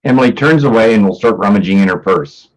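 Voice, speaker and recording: A man's voice: lowish voice, quick pace, low-quality recording. A man reading stage directions as scripted narration for a staged play reading.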